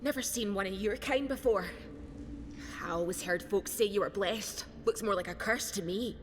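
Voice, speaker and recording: A woman's voice: mid-range voice, moderate pace, natural voice. A woman voicing a blunt, suspicious and scornful female captive in a fantasy video game. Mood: sceptical, scornful. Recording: long reverb, noisy game ambience.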